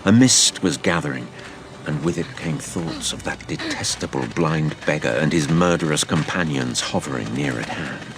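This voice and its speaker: A man playing a grave narrator who recounts his boyhood adventure in measured, literary storytelling for an audio drama. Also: very deep voice, expressive, background noise. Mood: grave, suspenseful.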